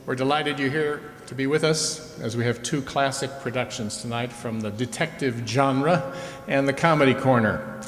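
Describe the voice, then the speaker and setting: A man with a mid-range voice reads a prepared introduction as the evening's host. His voice carries long reverb from a hall. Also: mid-range voice. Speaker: host introducing a radio players' show. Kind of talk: scripted stage introduction. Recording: reverberant hall, live stage.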